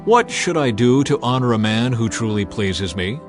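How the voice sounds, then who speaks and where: An imperious king played by a man declaims with regal force and emphasis. The voice is raised and very mobile in pitch, with quiet music beneath, in the style of a scripture audio drama. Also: animated, moderate pace, natural voice. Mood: commanding. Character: commanding, imperious ancient male king. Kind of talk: dramatized audiobook character acting.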